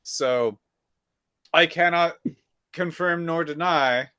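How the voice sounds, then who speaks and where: A man co-hosting a podcast, chatting casually in a relaxed way, with fillers and repeated phrases. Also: fairly high pitch, slow pace, clean tone, dry recording, clean studio sound. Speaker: podcast co-host. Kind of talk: casual chat.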